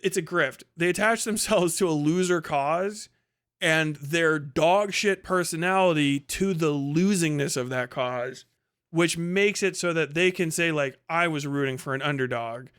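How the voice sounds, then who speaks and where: Guy on a livestream ranting off the cuff, sweary and scornful, kinda high voice, really clear. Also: a little slow, fairly bright tone. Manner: profane, blunt, scornful. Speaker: livestream host and commentator. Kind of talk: casual opinionated rant to stream audience.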